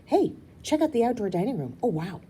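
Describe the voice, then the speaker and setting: A woman voicing an excitable, gushing young girl who whines and sighs in a storybook reading. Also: a little high, fairly quick, slight background noise, pitched above the performer's own voice. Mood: excited. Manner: sighing, whining, exclaiming.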